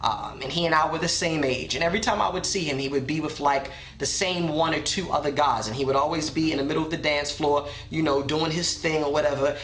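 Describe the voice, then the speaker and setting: A young man telling a personal story in a soft, reflective way, with many pauses and fillers. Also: on the high side, roomy sound.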